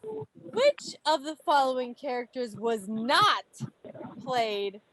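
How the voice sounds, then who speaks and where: A woman with a high voice hosts a quiz over a video call. She takes her time, lingering on names and answers. Her delivery is playful and expressive, mixing read questions with chatty asides. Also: takes it slowly, poor audio. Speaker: quiz host at a club meeting. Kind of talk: animated quiz reading with casual asides. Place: online video meeting. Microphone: video call microphone.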